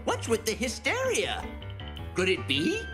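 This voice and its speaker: An excitable old male fairy elder from a cartoon. The voice is theatrical and swoops wildly up and down, with music playing behind it. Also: mid-range voice, unhurried. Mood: frantic. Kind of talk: English anime dub acting.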